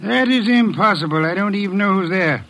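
An actor playing an imperious, unflappable genius detective in an old radio drama, curt and precise, on a noisy old recording. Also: male voice, sits high, animated, fairly quick, fairly bright tone. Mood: dismissive. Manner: curt, dismissive, precise diction.

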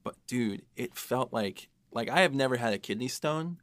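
A man's voice: mid-range voice, expressive, normal speed, no echo. A man talking casually as a podcast host and writer, with a self-deprecating, joking manner. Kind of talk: casual panel discussion.

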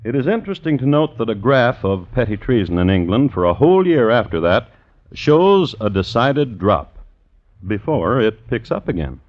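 An actor playing a dry, macabre gentleman crime historian who narrates a 1950s radio show in a scholarly, deadpan way. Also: mid-range voice, natural voice. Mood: grave, wry. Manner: measured, wry, deadpan, scholarly. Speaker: narrator and host. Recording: dull vintage radio recording.

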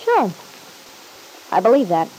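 A woman's voice: a little high, huge pitch swings, slow pace, mellow, exaggerated voice, poor audio. An actress playing a guarded, wisecracking young woman in an old-time radio soap opera, with slangy, breezy talk. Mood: defiant, flip. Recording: hissy vintage radio recording.

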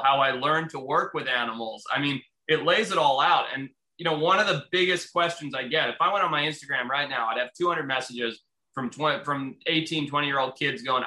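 A man from Zimbabwe, a wildlife biologist, talking as a guest in casual, energetic chat and swearing freely. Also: mid-range voice. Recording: roomy echo.

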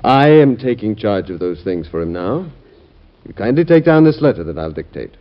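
An actor playing a stern, controlling presidential secretary with a formal, clipped manner in an old radio drama. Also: male voice, fairly low pitch, sing-song, normal speed. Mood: cold, dismissive. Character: officious, cold, controlling presidential secretary, a grown man. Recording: muffled old radio recording.

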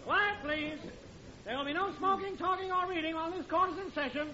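An actor playing a formal, officious court clerk, calling out in 1940s radio comedy style. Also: male voice, very high voice, brisk, bright, forceful tone, heavily acted. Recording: muffled old radio recording with crowd.

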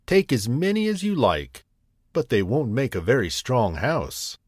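Guy doing a friendly woodcutter, higher pitched, slow easy talk. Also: huge pitch swings, dry recording, clean studio sound. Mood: generous. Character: kindly male woodcutter, generous.

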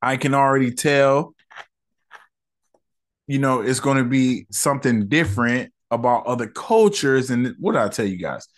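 A man hosting a solo podcast, reviewing an episode in a relaxed, chatty way with slang and lots of fillers. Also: medium pitch, normal speed.

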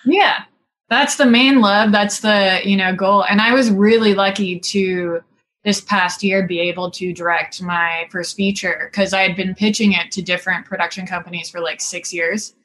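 A woman's voice: medium pitch, steady tone, moderate pace, fairly bright tone, rough recording. A woman in her thirties from Singapore, a film director chatting casually as an interview guest, talking in long runs packed with "like" and "you know" and laughing easily.

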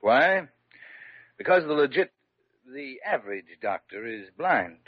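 A man playing a middle-aged quack doctor who flatters his patients like a salesman, in a 1940s radio drama. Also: mid-range voice, unhurried, dry recording. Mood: oily. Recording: dull old radio broadcast.

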